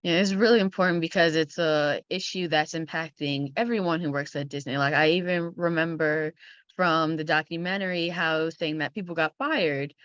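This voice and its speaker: A woman's voice: sits low, fairly even intonation, normal speed, fairly bright tone, no echo, muffled recording. A young woman conducting an interview in a casual, polite conversational style. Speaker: young film critic interviewer.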